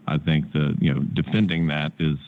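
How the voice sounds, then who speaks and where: An American man, a company executive, answering analysts' questions in a measured way with halting repeats. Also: extremely low pitch, fairly even intonation. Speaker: company executive on an earnings call. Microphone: conference call. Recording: muffled, narrow-band conference call line.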